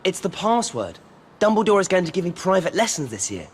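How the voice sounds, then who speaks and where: An actor playing a plain-spoken, understated teenage schoolboy in a video game, with some reverb. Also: male voice, high voice, sing-song, brisk, a little hiss or hum. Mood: easygoing.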